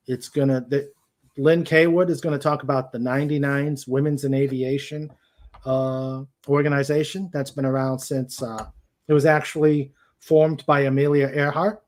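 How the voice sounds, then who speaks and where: Slow, halting man hosting a livestream. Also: medium pitch. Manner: halting, searching for words. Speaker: host of a live-streamed show. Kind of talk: casual livestream chat.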